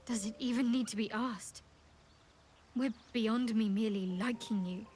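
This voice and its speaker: A woman playing an earnest, higher-voiced devoted woman. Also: unhurried, darkish tone, faint music behind, lightly acted. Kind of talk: video game voice acting.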